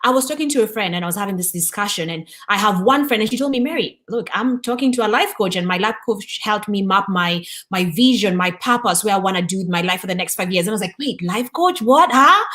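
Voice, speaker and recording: A woman's voice: medium pitch, animated, fast talker, hardly any pauses. A woman from Kenya co-hosting a coaching talk, speaking candidly and affirming her partner. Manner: warm, candid, affirming, with frequent fillers. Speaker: co-host. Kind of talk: casual conversation.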